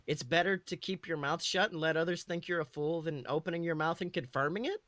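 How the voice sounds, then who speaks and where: Man as stern, high-voiced witch mother. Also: a bit bright, no room sound, natural voice. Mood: earnest warning. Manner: emphatic, measured lecturing. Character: stern, secretive witch mother cautioning her clever son.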